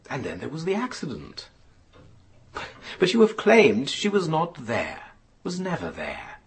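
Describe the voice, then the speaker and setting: An actor playing a formal, probing and regretful inquiry chairman in a radio drama, speaking deliberately in official phrasing. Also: a little high, fairly bright tone, breathy edge, heavily acted.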